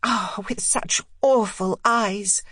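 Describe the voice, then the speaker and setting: A woman's voice: mid-range voice, slow pace, fairly bright tone, husky, dry recording. A woman voicing an intense, determined young governess in an audiobook, speaking in emphatic, broken phrases with urgent insistence. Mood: agitated. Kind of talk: audiobook character dialogue.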